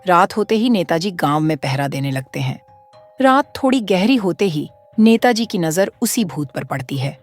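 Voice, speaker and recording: A woman narrates a cartoon horror story with a lively, expressive delivery. Her pitch moves a lot, and faint music plays behind her. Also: normal speed.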